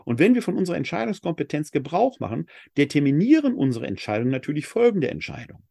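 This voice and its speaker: A man from Essen giving a Bible lecture, reading scripture slowly and with weight before explaining it reflectively. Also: on the high side, big pitch swings, vocal fry, warm tone, dry recording, clean studio sound. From Germany (Essen). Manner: reads scripture passages slowly and with emphasis, then explains in a reflective tone. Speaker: Bible teacher.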